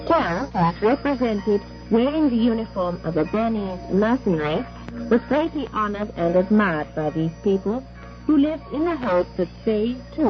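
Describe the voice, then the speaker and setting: A woman presents a scripted 1960s radio broadcast in a formal, carefully enunciated way. Her voice sits at a medium pitch. The recording is a dull, lo-fi shortwave capture with clear music behind it. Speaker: radio announcer and program host.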